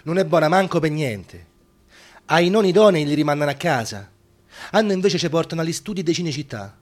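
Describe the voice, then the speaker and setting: An actor playing a wry young Roman film extra held prisoner, in a radio play with a Roman accent. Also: male voice, a little high, expressive, a little fast. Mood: bitter, resigned. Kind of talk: radio theatre monologue.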